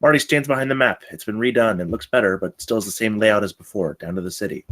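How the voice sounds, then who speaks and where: A man's voice: fairly low pitch, fast talker, fairly bright tone. A man reading stage directions as the narrator of a screenplay table read.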